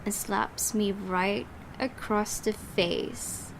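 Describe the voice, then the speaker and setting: A woman's voice: medium pitch, steady tone, very slow, creaky, very noisy. A woman streamer reading a horror visual novel aloud, with joking asides between passages. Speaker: game streamer. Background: game music.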